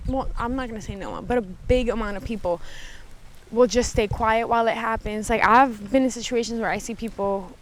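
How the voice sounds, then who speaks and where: A woman hosting a podcast alone, giving casual, candid commentary in a conversational way and swearing freely. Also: brisk. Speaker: podcast host. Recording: noisy background, vehicle-like ambient rumble.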